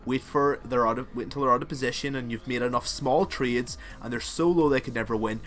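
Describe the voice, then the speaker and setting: A young man with a Northern Irish accent talks through a video game guide in a casual, rambling way, often dropping in fillers. His pitch sits in a middling range for a man. Faint music plays quietly beneath his voice. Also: fairly quick. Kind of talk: game strategy tutorial voice-over.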